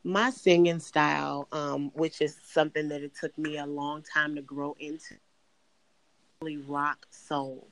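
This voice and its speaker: A raspy middle-aged woman from Chicago speaks in an earnest, measured way as a podcast guest. Her pitch stays level and even, with little rise or fall. She tells her story steadily and without hurry. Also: no room sound, rough recording. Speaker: interview guest, singer. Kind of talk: podcast interview.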